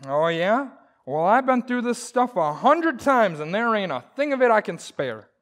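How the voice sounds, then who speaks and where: A woman acts out a folksy, boastful husband from an old-time radio comedy. The voice swings widely in pitch, full of bluster and homespun exclamations. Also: very animated, normal speed, lightly acted. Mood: blustering, defensive.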